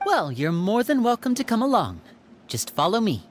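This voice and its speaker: A woman voicing a polite, friendly teenage boy in a video game, courteous and expressive. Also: mid-range voice, moderate pace, heavy background noise, natural voice. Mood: welcoming.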